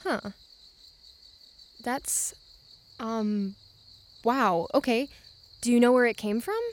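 A woman's voice: on the high side, slow. An actress playing an uneasy, guarded young woman at church camp, hesitant and awkwardly polite in naturalistic drama dialogue. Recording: faint noise with crickets behind.

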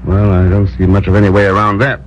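An actor playing a suave, decisive secret agent, dry and clipped, in 1950s radio drama style. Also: male voice, fairly low pitch, monotone, fast, slight background noise, natural voice. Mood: cool. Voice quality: dry, clipped, self-assured. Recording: dull old radio broadcast with music. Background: orchestral music.

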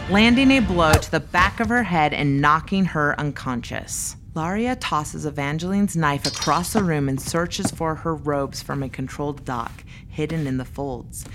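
A woman giving scripted, dramatic narration for an audio fiction story. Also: sits low.

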